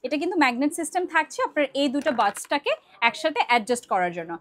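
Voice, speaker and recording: A woman with a Bangladeshi accent presenting a product promo for an online shop, in a conversational sales pitch sprinkled with English words. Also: sing-song, rapid-fire, fairly bright tone.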